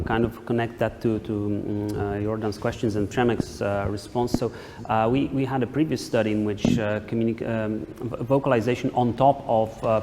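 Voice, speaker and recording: A man speaking as an academic researcher, answering questions in a hesitant, halting way. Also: on the low side, a little mellow. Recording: echoey hall with noticeable background noise.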